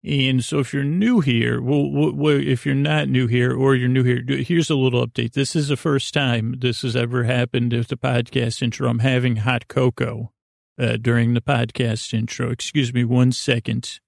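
A man hosting a podcast with a soothing, creaky voice, rambling in a halting, silly, whimsical way as if for bedtime. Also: mid-range voice, flat delivery, dry recording, clean studio sound. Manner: meandering, halting, silly and goofy. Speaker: podcast host. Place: podcast studio.

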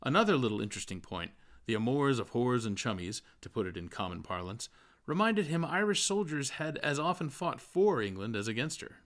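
A man's voice: mid-range voice, big pitch swings, fairly quick, a bit bright. A man reading literary prose aloud as a scripted audiobook narration. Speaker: audiobook reader.

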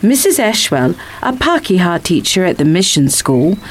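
A woman's voice: on the low side, sing-song, moderate pace, slightly rough. A woman reading a picture book as scripted story narration.

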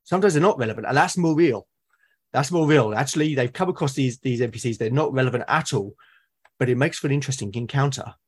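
A British man in casual, reflective conversation, explaining things in a halting, thoughtful way and often rephrasing himself. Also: medium pitch, slightly rough. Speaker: podcast guest or co-host, tabletop game master. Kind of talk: casual reflective conversation about roleplaying games.

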